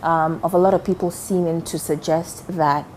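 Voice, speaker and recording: Mellow-voiced female interviewer. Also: lowish voice, fairly even intonation, moderate pace, slight background noise. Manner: hesitant questions with many "mm" backchannels. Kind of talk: interview questions.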